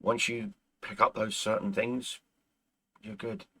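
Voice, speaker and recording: A man's voice: on the low side, fairly even intonation, slow pace, fairly bright tone, breathy edge. A man whose first language is Irish, hosting a gaming channel in casual, rambling chat with viewers and stumbling over restarts as he is losing his voice.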